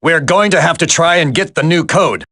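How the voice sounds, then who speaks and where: An actor playing a polite, self-assured tech specialist soldier in a dramatic video game. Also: male voice, fairly high pitch, normal speed, bright, forceful tone.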